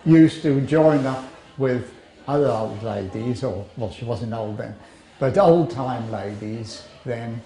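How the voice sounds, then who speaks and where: A man's voice: mid-range voice, takes it slowly, dark tone, echoey room, rough recording. An older man from Jersey reminiscing in a rambling, reflective way. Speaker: interviewee.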